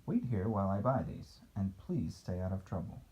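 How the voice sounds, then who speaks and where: A relaxed, friendly gentleman from a picture book, acted lightly by a man reading aloud. He speaks softly and kindly, with a gentle note of caution. The recording is a little muffled. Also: low-pitched, normal speed.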